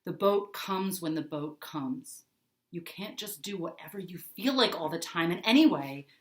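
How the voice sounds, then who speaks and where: A woman voicing a gruff, exasperated older boy who talks firmly and insistently, in a story reading. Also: fairly low pitch, animated, moderate pace, natural voice. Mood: hard, angry. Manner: firm, insistent. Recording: roomy, echoing indoor sound.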